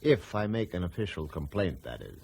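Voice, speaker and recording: An actor playing a pragmatic, persuasive aviation company boss in a radio drama, condescending and forceful, with a husky voice. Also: male voice, low-pitched, very animated, a little fast, a little hiss or hum, exaggerated voice. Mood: assured, goading.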